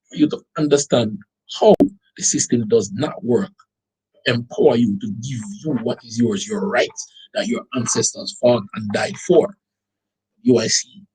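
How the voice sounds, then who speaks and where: Husky Jamaican man, animated commentary. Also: mid-range voice, rough recording. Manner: animated, incredulous, rhetorical questions to viewers. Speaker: online show host. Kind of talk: commentary reading out a document.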